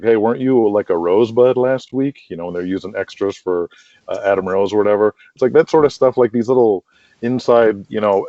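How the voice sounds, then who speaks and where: A man with a low, mellow voice talks through his opinions as an interview guest. He is emphatic and leans on examples to explain himself. The recording is dull and lo-fi. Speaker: interview guest, wrestling promoter and booker. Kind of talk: opinionated conversational interview talk. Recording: dull lo-fi, roomy echoing sound.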